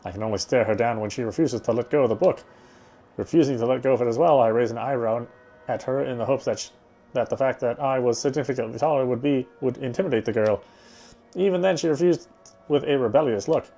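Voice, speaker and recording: A man's voice: mid-range voice, fast, mellow, faint music behind. A man reading visual novel narration aloud, sometimes stumbling and going back over phrases. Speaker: game streamer reading aloud.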